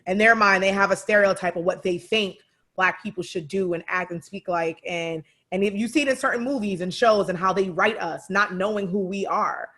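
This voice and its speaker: A woman co-hosting a casual panel chat, speaking in an emphatic, colloquial, animated way. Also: medium pitch, fairly quick. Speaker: talk show co-host.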